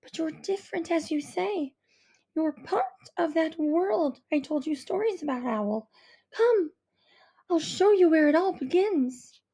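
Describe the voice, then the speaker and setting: A woman voicing a soft, fierce mother. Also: very high-pitched, lively intonation, unhurried, gravelly, voice pushed up, full character voice. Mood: hushed. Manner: soft, earnest, emotional. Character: tender, fierce mother with a hushed voice.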